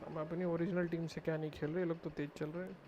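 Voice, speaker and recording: A man's voice: a little high, level tone, rapid-fire, dull audio. A man with an Indian accent giving casual live commentary as he streams a game.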